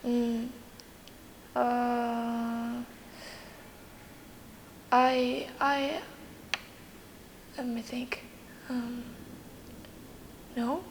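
A teenage high school student whose first language is Mongolian, answering interview questions in halting English with many pauses and fillers. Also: female voice, little pitch movement, slight background noise, poor audio. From Inner Mongolia, China. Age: teens.

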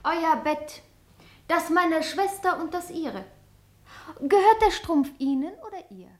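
An actress playing a naive, pious young girl in a radio drama, artless and earnest with a quiet defiance. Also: extremely high pitch, measured pace, no echo. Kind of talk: theatrical radio drama acting.

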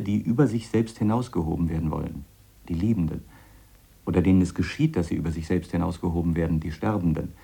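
Low, creaky-voiced musing scientist. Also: male voice, mellow. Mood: fervent, convinced. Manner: reflective, halting, explanatory. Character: obsessed eccentric male scientist, musing, earnest, self-doubting. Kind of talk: radio drama monologue in letter form.

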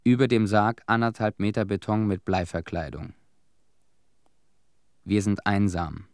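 A disillusioned young war-weary cleanup veteran in a radio drama. His voice sits low and is recorded dry and close. He gives his testimony soberly, in short clipped sentences. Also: steady tone, a little slow, dry recording. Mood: bleak, resigned. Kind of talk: radio play monologue, testimony.

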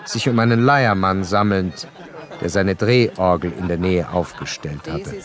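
An actor playing an educated, curious gentleman who narrates a literary radio play in a measured, articulate way. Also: low-pitched, crowd noise. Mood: observant, intrigued. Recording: studio radio play over faint violin music.

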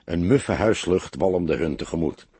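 Male narrator, scripted audiobook storytelling, measured, expressive, lively intonation, close dry sound. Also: low-pitched, slightly rough, dry recording.

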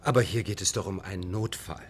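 Ein Schauspieler als ernster, besorgter Assistent, tiefere Stimme. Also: male voice, low-pitched, fairly quick, a little hiss or hum, close to an everyday voice. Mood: earnest, timid. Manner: earnest, slightly melodramatic. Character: earnest, anxious male assistant of a playwright. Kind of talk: German radio play acting.

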